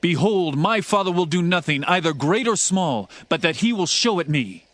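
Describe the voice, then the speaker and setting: An earnest, devoted prince in a scripture audio drama. He speaks emphatically in a highish voice with big melodic swings, recorded dry and close. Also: expressive, moderate pace, fairly bright tone, dry recording. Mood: reassuring, earnest. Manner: impassioned, archaic, emphatic delivery. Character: loyal, earnest prince pleading for his friend.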